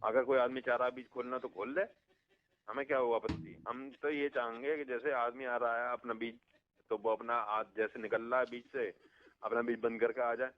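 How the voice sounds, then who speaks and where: A man's voice: medium pitch, flat delivery, normal speed, slight background noise. A man talking earnestly and insistently in colloquial speech on a phone call. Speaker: prank-call victim, local election candidate. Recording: muffled phone line.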